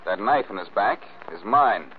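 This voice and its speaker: A man plays a sharp, wry amateur sleuth from a 1940s radio mystery. His fairly low male voice rises and falls freely as he muses and questions. The sound is narrow and tinny like an old broadcast, with faint hiss. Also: expressive, normal speed, natural voice. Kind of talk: 1940s radio detective drama acting.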